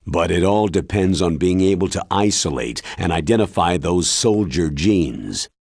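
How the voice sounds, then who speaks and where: A man voicing a grave, authoritative military commander in a video game, explaining in a measured, solemn way with a husky voice. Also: low-pitched, animated, darkish tone, no room sound, clean studio sound, exaggerated voice. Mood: solemn, explanatory.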